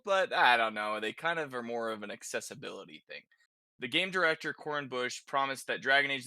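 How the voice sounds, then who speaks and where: A man's voice: mid-range voice, bright, forceful tone, no echo. A man hosting a podcast, chatting casually about video games in an informal way, as if thinking aloud. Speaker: podcast host.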